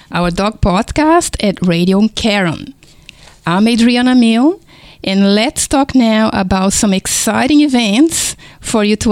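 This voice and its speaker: A woman from Brazil with a Brazilian Portuguese accent, hosting a community radio show in a friendly, unhurried way. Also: medium pitch, no echo. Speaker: radio host and dog trainer. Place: radio studio.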